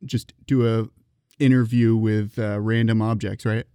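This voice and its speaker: Mellow, lower-pitched male interviewer. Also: level tone, a little slow, clear, resonant tone, no echo. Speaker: podcast interviewer, host. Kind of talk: casual interview chat.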